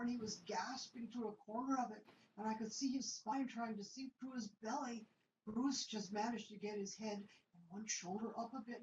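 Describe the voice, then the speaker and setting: A woman reads story narration in a steady, measured way, set well back from the microphone. Her voice rings in a roomy space, and the sound is dull and lo-fi with a faint hiss underneath. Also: on the high side. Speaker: reader in a radio theatre group. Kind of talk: reading story narration aloud. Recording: echoey, dull, distant mic with faint noise.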